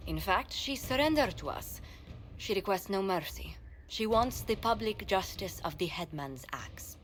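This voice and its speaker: A woman's voice: mid-range voice, expressive, unhurried, close to an everyday voice. A woman plays a poised fantasy game adviser. Her mid-range voice is formal and official, with faint noise behind it. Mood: solemn, official. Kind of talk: video game voice acting.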